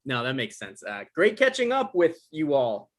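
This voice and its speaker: A man acting an evasive, awkward novelist in a comedy-drama table read, halting and defensive, with stammers. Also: mid-range voice, huge pitch swings. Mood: sheepish. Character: Michael, evasive, awkward novelist visiting his ex.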